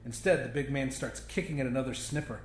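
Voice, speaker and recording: A man's voice: medium pitch, quick pace, echoey room. A man reading a first-person story as a dramatic audiobook narrator.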